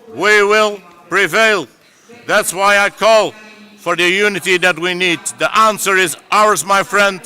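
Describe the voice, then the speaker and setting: A man's voice: high-pitched, sharp tone. A man giving a declamatory political speech at a rally, speaking with emphasis. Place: conference hall.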